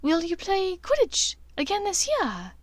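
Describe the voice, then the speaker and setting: A woman voicing a proud, fond aristocratic witch mother who speaks gently and formally. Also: extremely high pitch, huge pitch swings, unhurried, pitched above the performer's own voice, full character voice. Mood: tender encouragement.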